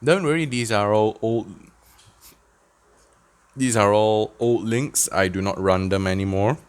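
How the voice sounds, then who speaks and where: A man giving an informal step-by-step software tutorial, somewhat halting and dropping in light asides. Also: fairly low pitch. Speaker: tutorial presenter, church tech volunteer. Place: indoors at a desk.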